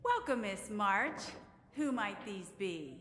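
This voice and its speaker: A woman voicing a polite, composed, welcoming character in a video game, unhurried, in long reverb with music behind. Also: mid-range voice, sing-song, fairly bright tone. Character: composed, welcoming, worldly woman who tends to strangers.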